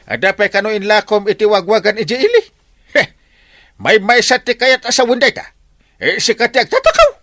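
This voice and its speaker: A blustering village captain in a comedy radio drama, with a high voice that swings wildly up and down. He scolds theatrically in an Ilocano accent. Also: sing-song, fairly quick, sharp tone, no echo, clean studio sound, heavily acted. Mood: accusing. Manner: exaggerated, scolding, theatrical delivery. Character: blustering comic village captain accusing a thief.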